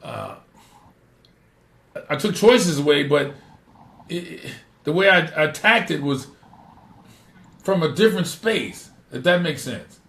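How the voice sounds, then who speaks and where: A middle-aged man with a naturally rough voice, hosting a podcast and giving emphatic, repeated advice in casual chat. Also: on the high side, lively intonation, slow, pauses often, a bit bright, reverberant. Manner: emphatic, repeats points for stress. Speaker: podcast host.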